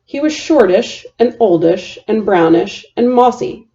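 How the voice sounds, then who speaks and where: A woman reads rhyming children's verse with an expressive, deliberate delivery. Her voice is soft-toned and mellow with plenty of fry. The recording is a little dull and homemade. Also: mid-range voice. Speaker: storyteller reading a children's book aloud. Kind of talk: rhyming children's story read aloud.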